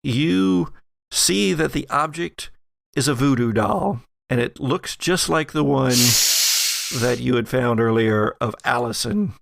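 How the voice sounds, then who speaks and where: Man, game master, slow pace, creaky voice, dramatic horror narration, deliberate phrasing. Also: medium pitch, animated, no echo. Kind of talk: narrating a horror tabletop role-playing game.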